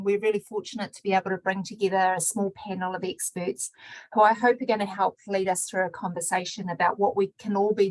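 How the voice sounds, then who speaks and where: A woman opening and hosting a research webinar, measured, mixing in Māori greetings. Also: medium pitch, dry recording, rough recording. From New Zealand. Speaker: webinar host and research director. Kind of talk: scripted webinar introduction.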